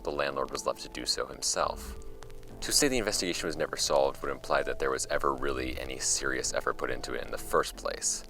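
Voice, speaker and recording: An actor playing a wry archive host, reading old case files aloud in a measured, conversational way for a scripted audio drama. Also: male voice, extremely low pitch, vocal fry, bright, forceful tone, full character voice. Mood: wry, matter-of-fact. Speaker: host of a fictional case-file podcast. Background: music under the voice.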